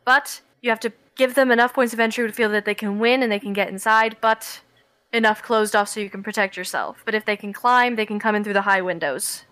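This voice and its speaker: A woman playing a tabletop game, laying out a plan in character in quick, lively talk. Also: medium pitch, no echo. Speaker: player.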